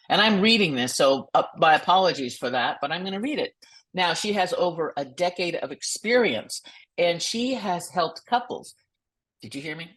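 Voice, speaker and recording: A woman's voice: sits low, breathy edge. A woman hosting a motivational podcast as a coach, enthusiastic and effusive, who sometimes stumbles over words while reading.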